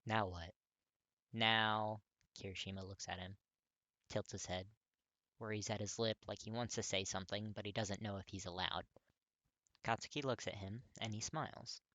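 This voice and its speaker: Male story narrator, lowish pitch, bright forceful tone, dry close recording, expressive scripted reading. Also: moderate pace, no room sound. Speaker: audiobook-style fan fiction reader.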